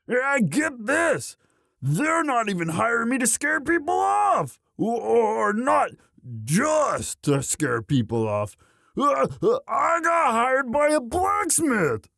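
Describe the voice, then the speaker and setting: Man playing a gravelly, sweetly halting young orc. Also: high voice, a bit bright, no room sound, studio quality, heavily acted. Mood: excited, sheepish. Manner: halting, stammering, bashful earnest delivery. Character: young male orc, gentle earnest himbo sweetheart. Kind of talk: intimate romantic roleplay audio drama.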